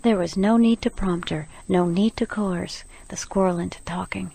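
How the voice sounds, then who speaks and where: A woman with a gravelly, husky voice, narrating a comic story in rhyme. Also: fairly low pitch, steady tone, normal speed, mellow.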